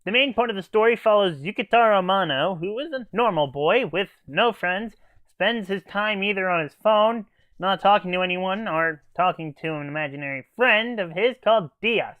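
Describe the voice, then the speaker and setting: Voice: medium pitch, lively intonation, moderate pace, dry recording, narrow, tinny audio. A reviewer hosting a scripted comic review, playing the exasperated straight man. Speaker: host, anime reviewer.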